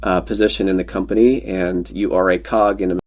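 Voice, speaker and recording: A man's voice: sits low, level tone, brisk. A man from Pennsylvania whose first language was Pennsylvania Dutch, a podcast guest telling rambling, joking stories about himself. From Pennsylvania, USA. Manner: joking, rambling anecdotes with self-deprecating humor. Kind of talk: casual conversational storytelling.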